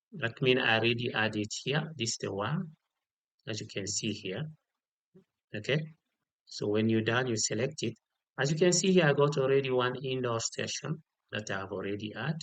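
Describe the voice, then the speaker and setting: A man giving an unscripted step-by-step software tutorial, repeating key details and often checking in with a short okay. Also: mid-range voice, creaky, no room sound, rough recording. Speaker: tutorial instructor.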